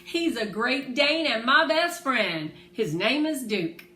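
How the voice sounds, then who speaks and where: A woman voicing a shy, gentle, soft-hearted woman in a storybook reading. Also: sits high, lively intonation, slow, pitched above the performer's own voice, exaggerated voice. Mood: fond. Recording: roomy echo, faint background noise.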